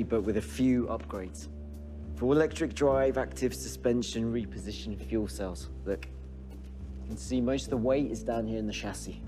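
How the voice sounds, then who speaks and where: A man's voice: mid-range voice, close to an everyday voice. A man playing a proud, informal racing team garage hand who explains things naturally in a game cutscene. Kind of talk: natural game cutscene acting, showing someone around.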